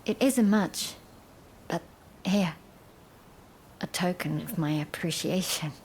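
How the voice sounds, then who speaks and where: Compassionate, resolute doctor. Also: female voice, on the low side, takes it slowly, a little mellow, husky, poor audio. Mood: grateful.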